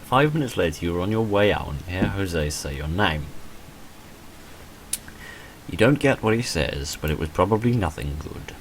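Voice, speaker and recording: Very deep-voiced man reading a visual novel. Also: animated, normal speed, pauses often, slight background noise. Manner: dry, self-deprecating humour. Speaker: video creator playing and reading a visual novel. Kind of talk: let's play, reading game text aloud with off-script asides.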